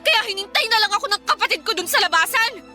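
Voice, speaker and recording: Indignant young daughter, shrill pitch, swooping intonation, radio drama, music behind. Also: female voice, expressive, quick pace, sharp tone, exaggerated voice. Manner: scolding, confrontational. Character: indignant breadwinner eldest daughter, young woman, strict. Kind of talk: Filipino radio drama acting.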